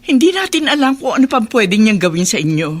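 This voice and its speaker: A woman's voice: a little high, sing-song, fast talker. A woman playing a tender, resolute old grandmother in a Filipino radio drama, solemn and full of feeling. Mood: resolute, protective.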